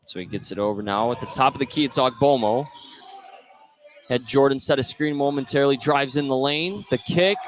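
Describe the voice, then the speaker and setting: A man does live basketball play-by-play for radio. His voice sits at a middle pitch as he follows the action and recaps it between plays. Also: a little fast. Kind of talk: live sports radio commentary.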